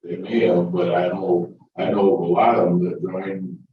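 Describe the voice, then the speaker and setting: A man in the audience asking questions and adding comments in a measured way. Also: deep voice, flat delivery, dull audio, slight background noise. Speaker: audience member. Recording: very echoey room from a distance.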